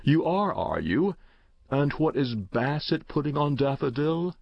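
A man's voice: a little high, big pitch swings, unhurried, creaky, no room sound, lo-fi sound, voice pushed up, full character voice. A man voicing an amused, indulgent uncle in a storybook reading, hearty, teasing and incredulous.

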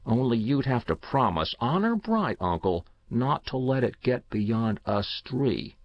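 A man's voice: mid-range voice, very animated, a little slow, no echo, lo-fi sound. Man voicing an earnest, grave little boy. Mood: earnest, sure. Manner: serious, polite, quietly insistent. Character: grave, earnest young boy with secret certainty. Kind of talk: storybook character reading.

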